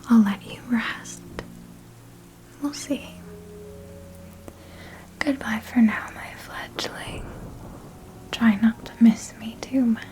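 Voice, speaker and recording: A woman playing a possessive, composed vampire mentor in an ASMR roleplay, elegant and teasing in her delivery. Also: a little high. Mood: teasing, commanding. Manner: slow, teasing, elegant, condescendingly affectionate.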